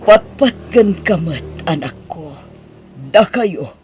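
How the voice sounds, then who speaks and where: A man's voice: sits high, lively intonation, takes it slowly. A man acting a supportive, tender parent in an audio drama, with an Ilocano Filipino accent.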